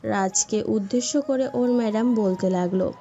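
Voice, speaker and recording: Woman narrator reading a love story, soft dark tone, bit muffled lo-fi, music under. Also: mid-range voice, moderate pace, slight background noise. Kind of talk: scripted romantic story narration. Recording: dull lo-fi sound, music bed.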